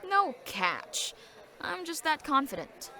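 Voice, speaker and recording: A woman's voice: high voice, very animated, slow pace, bright, forceful tone, exaggerated voice. A woman playing a confident, caring and teasing young adventurer in a fantasy audio drama. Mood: smug, teasing.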